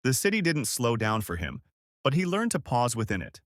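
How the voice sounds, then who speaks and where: Male narrator for scripted short fiction. Animated intonation, mid-range voice, very dry sound. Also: mid-range voice, moderate pace, no room sound. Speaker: storyteller.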